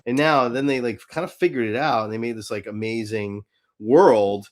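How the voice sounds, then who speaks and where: A man co-hosting a podcast in casual fan chat, halting and interrupting himself, with some mild swearing. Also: medium pitch. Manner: halting, self-interrupting, mildly profane. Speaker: podcast co-host.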